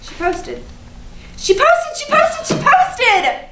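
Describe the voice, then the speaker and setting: Actress doing hurt indignant young woman, really high swoopy voice, emphatic stage comedy, echoey. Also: sing-song, slow, exaggerated voice. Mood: excited, attention-hungry. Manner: emphatic, repetitive, swearing. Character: hurt, indignant young woman obsessing over social media posts. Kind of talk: theatrical staged reading of a comic play. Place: live staged reading in an echoey room. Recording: echoey, noisy stage recording from far off.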